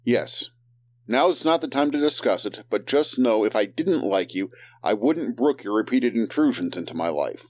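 A man playing a curt, stiffly formal character in an audio drama, clipped and deadpan. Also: medium pitch, normal speed, dry recording, lightly acted. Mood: curt, dry.

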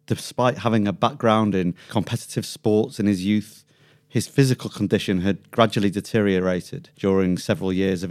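A man's voice: fairly low pitch, darkish tone. A man hosting a podcast interview, friendly and conversational, sometimes restarting his phrases. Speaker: podcast host.

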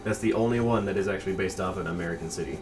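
Young man hosting a podcast, very low voice, sits back from the mic, noisy room. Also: rapid-fire. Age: 20s. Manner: talkative, explains at length, laughs often. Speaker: podcast host. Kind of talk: casual podcast chat. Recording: distant mic with clear background noise.

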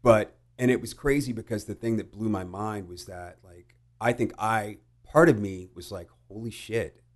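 A middle-aged American man telling personal stories casually, halting and reflective, with some swearing. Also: fairly low pitch. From United States. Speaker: podcast guest. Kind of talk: casual conversational storytelling.

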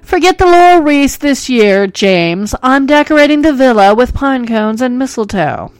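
A woman voicing a boastful ancient Roman homeowner who speaks in a loud, showy way. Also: sits high, expressive, moderate pace, clear, resonant tone, no room sound, poor audio.

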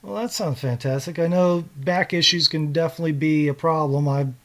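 A man's voice: a little high, steady tone, brisk, a bit bright. A man hosting a podcast, interviewing a guest author in a casual, conversational way.

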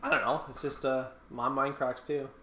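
A man's voice: mid-range voice, little pitch movement, normal speed. A man, bantering casually as a podcast co-host, blunt, teasing and full of swearing. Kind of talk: casual profane banter with a friend. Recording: echoey room with faint background noise.

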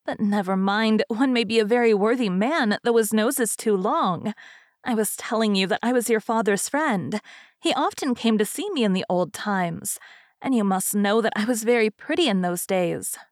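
Lady doing a gabby vain old fairy from a storybook, high pitched, rambles on and on, good natured but tactless. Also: expressive, normal speed, dry recording, clean studio sound, lightly acted. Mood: cheerful, chatty. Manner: rambling, gushing, talkative.

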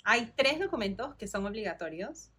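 A woman's voice: mid-range voice, very animated, normal speed, fairly bright tone, husky. A woman in her thirties from Peru with a Peruvian Spanish accent, hosting a podcast and explaining a process in a friendly, step-by-step way. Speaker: podcast host. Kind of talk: informative solo podcast.

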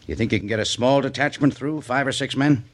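An actor playing a commanding army major in a 1950s radio western, decisive and businesslike. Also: male voice, mid-range voice, brisk, natural voice. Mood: concerned, decisive.

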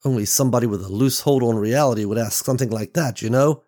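A man's voice: mid-range voice, normal speed, mellow, natural voice. A man voicing a fierce, protective mother in an audiobook, speaking sharply and threateningly with a raised voice. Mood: angry, defiant. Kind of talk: audiobook character voice.

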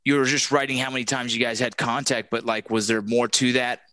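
Man, interview host, bright tense voice, dry close sound, conversational, repeats words. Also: mid-range voice, little pitch movement, a little fast, clean tone, dry recording. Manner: conversational, repeats words when asking questions. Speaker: podcast host, army veteran.

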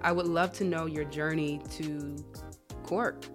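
Female podcast host, notably low register, chatty interview style, checks in often, music playing behind her. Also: steady tone, measured pace. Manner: informal, checks in with tag questions. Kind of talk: conversational interview.

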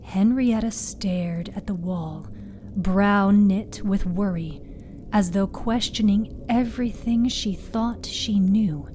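A woman's voice: mid-range voice, slow pace, dark tone, a little hiss or hum. A woman reading scripted narration for a spooky fiction podcast. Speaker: writer, narrator and producer.

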